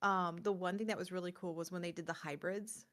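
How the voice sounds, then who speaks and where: A woman's voice: on the low side, a little fast. Enthusiastic woman chatting as podcast co-host. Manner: enthusiastic, expressive, emphatic. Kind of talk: casual chat.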